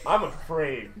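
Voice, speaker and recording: A man co-hosting a podcast, chatting casually in an animated, exaggerating, giggly way, over faint music. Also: on the high side. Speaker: podcast co-host. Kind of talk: casual group chat.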